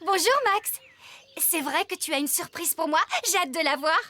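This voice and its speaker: A high-pitched, kindly farm woman in a children's cartoon. She is affectionate and encouraging, speaking with open enthusiasm and expressive phrasing. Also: moderate pace, a little hiss or hum. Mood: curious, eager.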